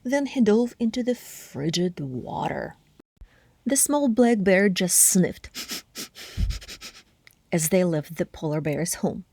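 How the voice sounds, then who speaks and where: A woman narrating a children's story aloud expressively, with deliberate phrasing and hushed, breathy word endings. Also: on the low side, expressive, no room sound. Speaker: storybook reader.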